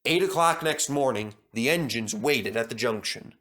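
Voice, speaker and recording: A man's voice: mid-range voice, lively intonation, moderate pace, pushed and bright. A man narrating a children's story from a script as a storybook reader.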